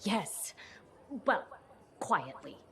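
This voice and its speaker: A woman's voice: lowish voice, lively intonation, very slow, vocal fry, fairly bright tone, a little hiss or hum, full character voice. A woman playing a shy, earnest military sentinel in a video game, hesitant and socially clumsy. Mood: guarded. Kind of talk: naturalistic comic video game acting.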